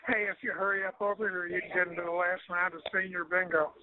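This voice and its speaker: A man's voice: high-pitched, flat delivery, quick pace, crowd noise. An older man leaving casual, sardonic voicemail messages, wisecracking and grumbling over a telephone. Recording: tinny phone-line voicemail recording.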